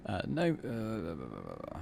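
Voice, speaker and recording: Male gaming host, hugely varied intonation, casual musing delivery, reading and commenting, clear background noise. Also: fairly low pitch, huge pitch swings, extremely slow. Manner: musing, thinking aloud. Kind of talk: casual game commentary and narrated text reading.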